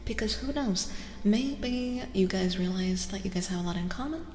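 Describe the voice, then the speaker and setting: A woman giving a soft-spoken ASMR tarot reading, hushed and gentle, often slipping into whispers and softly repeating reassurances. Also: mid-range voice, moderate pace, roomy sound, big-room sound.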